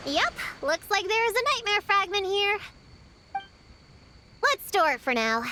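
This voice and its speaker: A woman voicing a small, bookish girl in a video game, who takes charge briskly yet gets flustered easily. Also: extremely high pitch, big pitch swings, background noise, heavily acted. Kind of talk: video game character dialogue.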